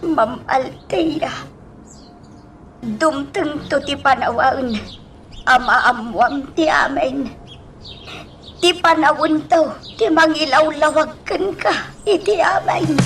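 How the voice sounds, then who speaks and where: A woman's voice: sits high, slow pace, long pauses, a bit bright, recorded from a distance, slight background noise, heavily acted. A woman acting a weary, humble older mansion servant in an Ilocano radio drama, hesitant and deferential, with a Filipino accent. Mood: solemn.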